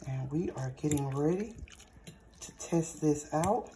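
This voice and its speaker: A hoarse woman chatting through a cooking vlog, addressing viewers as y'all and savoring bites with lots of hums. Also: sits low, a little slow, dark tone, slight background noise. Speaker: cooking vlogger. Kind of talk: casual cooking vlog with tasting. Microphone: phone or camera mic, recording alone in a kitchen.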